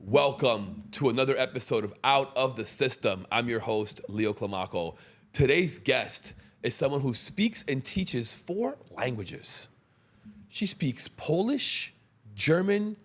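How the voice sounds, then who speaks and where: Male podcast host, mid-pitched, easygoing, conversational, supportive of the guest. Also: very animated, slow pace, fairly bright tone. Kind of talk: casual interview chat.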